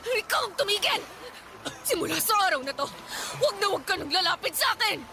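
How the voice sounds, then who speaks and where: A woman's voice: extremely high pitch, very animated, fast, gravelly, full character voice. A Filipino actress playing a wronged, fiery young woman in a radio drama, accusing and defiant. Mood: furious, defiant. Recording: dramatic music bed behind voice.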